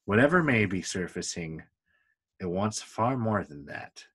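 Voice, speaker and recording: A man with a fairly low voice reads from a book. He delivers the text deliberately, phrase by phrase, leaving pauses as he goes. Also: very animated, fairly bright tone, breathy edge. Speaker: book reader. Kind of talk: reading a book aloud.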